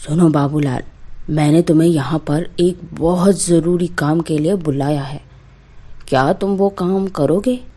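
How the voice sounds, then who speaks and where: A woman voicing an authoritative, deliberate village landlord, a grown man, in a storybook tale. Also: low-pitched, mellow, slightly rough, voice pushed down. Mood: commanding. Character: village landlord, commanding but grateful adult man.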